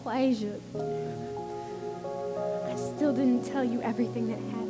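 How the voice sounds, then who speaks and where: A woman acting a confused, frightened sixteen-year-old girl in an emotional stage drama, halting and pleading in her delivery. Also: a little high, fairly even intonation, lightly acted. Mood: distressed, tearful. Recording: music behind the voice.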